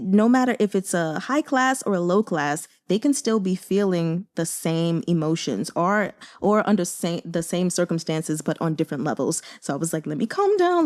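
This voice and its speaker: An American woman giving casual, chatty book commentary in a conversational, expressive way with emphatic exclamations. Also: medium pitch. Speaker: book reviewer and host.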